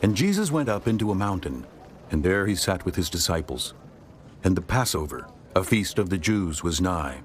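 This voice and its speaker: A man narrating scripture from a script in measured, formal storytelling. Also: sits low, big pitch swings, slight background noise.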